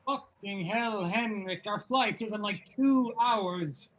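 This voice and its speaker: Man playing a slow, grumpy rocker. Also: high-pitched, fairly bright tone, slight background noise. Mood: irritable. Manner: curt, irritable. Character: grumpy, swearing male rocker Lucas, curt and irritable. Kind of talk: table read of a pilot script.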